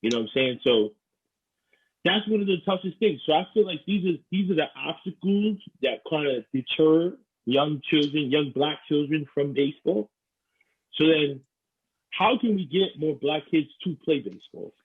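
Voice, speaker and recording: A man's voice: fairly high pitch, animated, normal speed, breathy edge. A young man from New York hosting a podcast, talking earnestly and often saying "you know". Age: young adult. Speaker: podcast host. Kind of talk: podcast conversation. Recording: tinny phone-like line.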